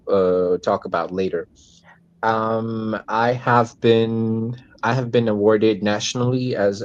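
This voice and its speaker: A man's voice: fairly low pitch, darkish tone, dull audio. A nineteen-year-old man with a Pakistani accent, chatting as an interview guest and rephrasing as he goes. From Hyderabad, Pakistan. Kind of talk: casual interview answers.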